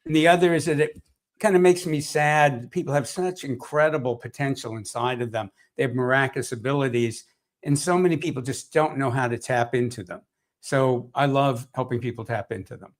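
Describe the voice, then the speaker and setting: Cheerful, friendly man, mid-pitched voice. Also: brisk. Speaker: energy work practitioner. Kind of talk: panel self-introduction.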